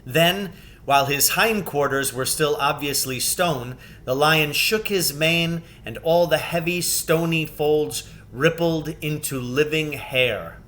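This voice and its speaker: A man reading a children's novel aloud as scripted narration, with measured, expressive phrasing. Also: a little high. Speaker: storybook reader.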